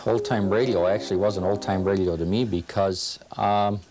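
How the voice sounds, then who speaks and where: A man's voice: on the low side, fairly even intonation, normal speed, a little mellow, soft background music. A middle-aged man talking informally as an interviewed club member, in a reminiscing style. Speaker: old-time radio club member interviewed. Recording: faint background noise.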